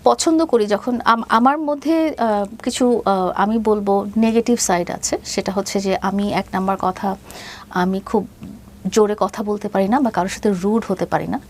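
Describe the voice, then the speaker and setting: A woman's voice: medium pitch, darkish tone, slight background noise. A woman in her fifties with a Bangladeshi accent, telling a personal story as an interview guest, hesitantly and with English phrases mixed in. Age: 50s. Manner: hesitant, halting delivery, mixing in English phrases.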